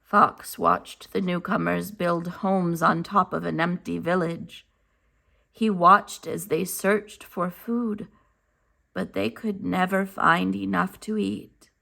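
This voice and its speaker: A woman reading a children's picture book aloud as a teacher, enunciating carefully and deliberately. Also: lowish voice, fairly even intonation, creaky, bright, forceful tone. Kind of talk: slow, expressive children's read-aloud narration.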